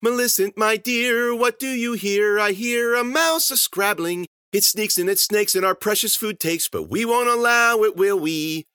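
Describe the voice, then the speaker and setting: A woman's voice: sits high, a little slow, hardly any pauses, sharp tone, no echo, studio quality, voice pushed up. A man voicing a childlike, murderous madwoman who cries out and chants in singsong rhymes for an audio story. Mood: singsong menace. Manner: singsong rhyming, crying out.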